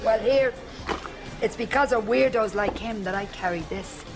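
A woman's voice: a little high, normal speed, over music, natural voice. A woman playing a frank grown-up Irish daughter in a studio sitcom. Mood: defiant. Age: adult. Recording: loud studio audience noise.

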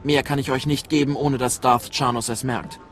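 An actor playing a scheming Sith agent in a game, talking in a sardonic, clipped, commanding way. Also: male voice, medium pitch, fairly even intonation, moderate pace, slight background noise, natural voice. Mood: urgent, guarded. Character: shrewd, scheming adult male Sith agent. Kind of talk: dramatic game voice acting.

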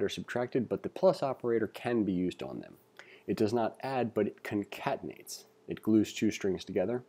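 A man giving a read-along tutorial as an instructor, reading aloud and adding informal asides as he thinks out loud. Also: fairly low pitch, breathy edge. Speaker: programming instructor. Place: indoors, screen-recording voice-over.

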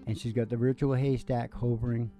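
A middle-aged man with a mellow, relaxed voice tells rambling, playful stories as a show host. He drifts into joking asides at an unhurried pace, with guitar playing softly behind him. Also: medium pitch, poor audio. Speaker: host of a music and comedy show. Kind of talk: folksy rambling stage patter, storytelling. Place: small dim curtained stage room. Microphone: stand microphone. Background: acoustic guitar.

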